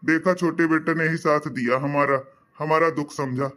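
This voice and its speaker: A man's voice: a little high, steady tone, normal speed, a bit bright, close to an everyday voice. An actor voicing a tired older father in a cartoon, speaking in a weary, grumbling way.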